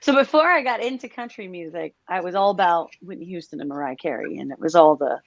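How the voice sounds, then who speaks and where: A woman's voice: lowish voice, quick pace, dry recording. Danish-born woman, dry dull recording. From Denmark. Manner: relaxed, laughs often, trailing asides. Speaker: guest, country singer. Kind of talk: casual interview chat.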